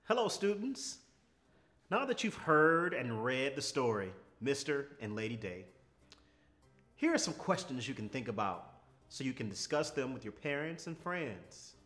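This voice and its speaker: Slow, expressive male storyteller. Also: mid-range voice, faint music behind. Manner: measured, expressive. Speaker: story reader. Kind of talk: read-aloud storytelling for children. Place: small furnished room, indoors.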